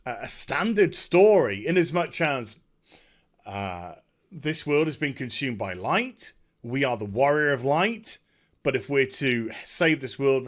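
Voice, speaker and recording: Excitable man in an off-the-cuff ramble. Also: mid-range voice. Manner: excitable, emphatic, halting with repeated words. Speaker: video host giving a game story discussion. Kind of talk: unscripted casual rambling commentary.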